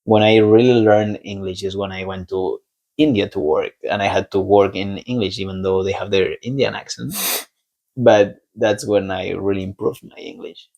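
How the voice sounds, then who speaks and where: A young man from Spain with a Spanish accent, a podcast guest talking in a relaxed, halting way and laughing often. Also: deep voice, gravelly, dull audio. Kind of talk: casual conversation.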